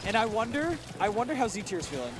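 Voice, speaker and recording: A man casting a live esports match at a big venue, excitable and fast with his calls, his voice worn and hoarse from shouting. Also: high-pitched. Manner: excitable, rapid play-by-play with exclamations. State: losing his voice. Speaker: esports commentator. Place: large tournament venue.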